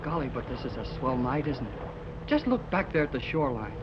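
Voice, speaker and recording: A man plays an excitable teenage boy in a 1930s radio adventure. His voice swings widely as he gushes in slangy exclamations. The old recording is muffled and clearly noisy. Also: mid-range voice, brisk, lightly acted. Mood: excited. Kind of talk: 1930s radio serial acting.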